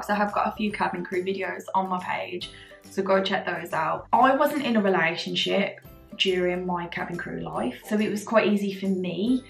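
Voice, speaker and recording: A woman in her twenties, chatting to camera in a lively, animated way from her living room, a little hoarse. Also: mid-range voice, reverberant. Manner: chatty, animated, gestures a lot while talking. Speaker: vlogger, former cabin crew. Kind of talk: casual chat to camera. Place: bright living room at home. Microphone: camera in front at desk distance.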